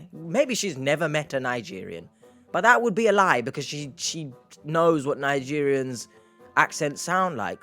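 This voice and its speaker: A man hosting a reaction video, talking through a clip with animated, exasperated commentary that mixes sarcasm and sympathy. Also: fairly high pitch. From England. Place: indoor room under lights.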